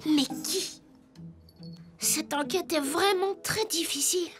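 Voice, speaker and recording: A woman's voice: very high voice, slow, music in the background. A woman voicing an earnest, kind little wooden toy boy detective in a children's cartoon. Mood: earnest, inquisitive.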